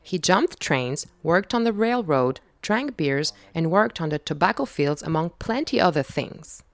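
A woman's voice: on the low side, expressive, dry recording. Thoughtful woman narrator in her thirties. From Quebec. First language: French. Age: 30s. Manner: reflective, measured. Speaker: documentary host. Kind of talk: scripted narration and interviewing.

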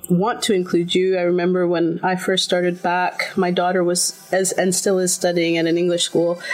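Middle-aged woman, finnish first language, kinda low gravelly voice, thinks out loud with lots of ums, music going under it. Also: low-quality recording. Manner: thoughtful, with many fillers and restarts. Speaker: interviewee. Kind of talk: reflective interview answers.

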